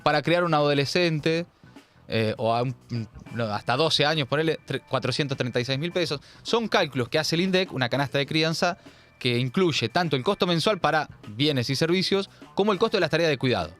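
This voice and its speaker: A man's voice: fairly high pitch, animated, brisk, a bit bright, faint music behind. A man with a Rioplatense Argentine accent hosting a political commentary show, talking in an emphatic, sardonic, slangy way. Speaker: host.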